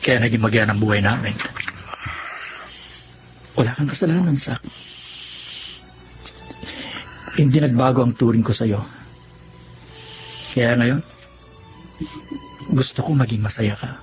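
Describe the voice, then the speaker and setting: A man's voice: medium pitch, unhurried, long pauses, soft background music, natural voice. A man playing a caring, playful and loyal best friend in a radio drama, reassuring and teasing. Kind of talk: Tagalog radio drama acting. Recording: dull lo-fi audio, clear background noise.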